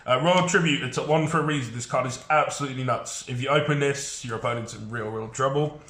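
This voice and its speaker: A man's voice: mid-range voice, fast, fairly bright tone, echoey room. A man with a head cold, sounding congested, chatting casually through a card game deck with frequent fillers.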